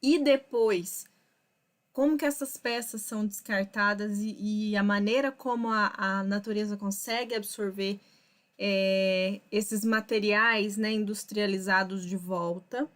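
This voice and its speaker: Slow, explaining woman host. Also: mid-range voice, fairly even intonation. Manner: slow, explanatory, thinking aloud with fillers. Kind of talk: casual live chat. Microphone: video call.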